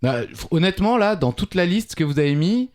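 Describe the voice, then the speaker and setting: A man's voice: sits high, expressive, brisk, darkish tone, slight background noise. A man from Alsace, co-hosting a podcast quiz in a casual, joking, teasing way. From Alsace, France. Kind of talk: casual banter, quiz chat.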